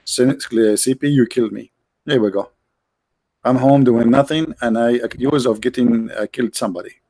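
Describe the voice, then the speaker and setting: A man's voice: medium pitch, mellow, dry recording, poor audio. Sarcastic man on a dry close mic. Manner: sarcastic, mocking, halting with many restarts. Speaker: livestream host. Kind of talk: casual livestream monologue.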